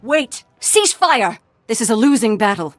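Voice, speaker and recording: An earnest, defiant game heroine. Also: female voice, fairly high pitch, very animated, unhurried, no room sound, soft background music. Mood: urgent. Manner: earnest, idealistic, firm. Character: principled, defiant woman fighter pleading for the exploited. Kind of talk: dramatic video game voice acting.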